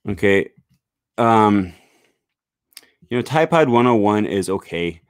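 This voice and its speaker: A man's voice: fairly low pitch, animated, slow, clean tone, no room sound. A young man giving a loose, rambling live-stream talk on language learning, full of "um" and "uh", into a desk microphone in a small home room. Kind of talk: casual live-stream talk with screen sharing. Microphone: large studio microphone on a desk stand.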